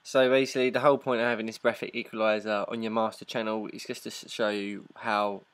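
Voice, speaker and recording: A man presenting a casual software tutorial in an informal, halting step-by-step way. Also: lowish voice, little pitch movement. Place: indoors, voice-over on a screen recording.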